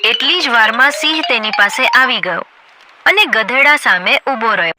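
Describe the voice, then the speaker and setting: High-voiced woman narrating a cartoon story. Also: lively intonation, moderate pace. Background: background music.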